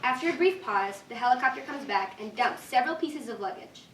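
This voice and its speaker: Distant mic, roomy echo, woman narrator, scripted stage directions, fairly high voice. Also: lively intonation, a little fast. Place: room with live reading. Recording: echoey room, distant mic, faint noise.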